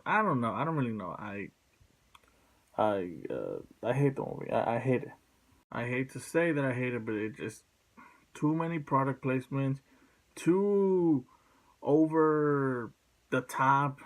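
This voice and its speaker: A man speaking casually as a video host, blunt and sweary, in a halting, rambling way. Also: medium pitch, poor audio. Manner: blunt, profane. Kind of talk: casual opinion vlog.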